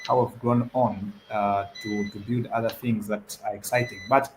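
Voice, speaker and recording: A man's voice: on the low side, fairly even intonation, moderate pace, a little hiss or hum, rough recording. A young man from Zambia answering as a guest on a panel, hesitant, repeating words and using many fillers. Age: young adult.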